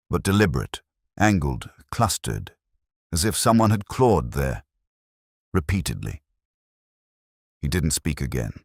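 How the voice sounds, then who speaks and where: A man plays a world-weary detective in an audio drama, recalling a case in measured, literary prose. His voice is extremely low and husky. He sounds solemn and reflective, with pitch that rises and falls freely. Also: no room sound, clean studio sound, heavily acted. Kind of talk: literary first-person scripted narration.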